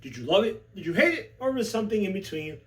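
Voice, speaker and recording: A man's voice: high-pitched, big pitch swings, quick pace, fairly bright tone, recorded from a distance, faint music behind. A man hosting a casual, unscripted review, talking in a slangy, conversational way and often checking in with the listener. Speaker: movie review host.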